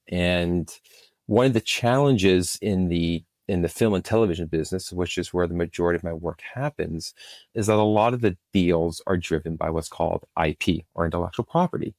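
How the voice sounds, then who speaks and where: A man's voice: low voice, darkish tone, no echo. A middle-aged man speaking as a producer being interviewed, answering in an earnest, emphatic, conversational storytelling way. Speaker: guest, film and podcast producer.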